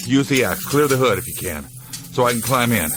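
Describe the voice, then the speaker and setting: An actor playing a tough, level-headed state trooper in a 1960s TV drama, speaking in terse commands. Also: male voice, medium pitch, animated, fairly quick, noisy background, close to an everyday voice. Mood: brisk, commanding. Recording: vintage TV soundtrack with music.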